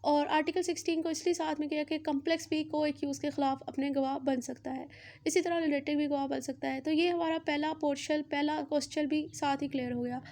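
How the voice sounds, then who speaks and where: A woman's voice: sits high, steady tone, fairly quick, darkish tone. A woman with a Pakistani accent giving an explanatory law lecture, repeating points and mixing in English legal terms. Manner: repetitive, uses English legal terms mixed in.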